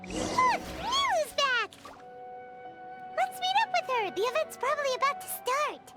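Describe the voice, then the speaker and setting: An actress voicing a tiny, excitable female fairy companion in a game, loud and bouncy. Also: extremely high pitch, soft background music, heavily acted. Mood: excited. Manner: loud, bouncy, quick to exclaim. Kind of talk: game voice acting.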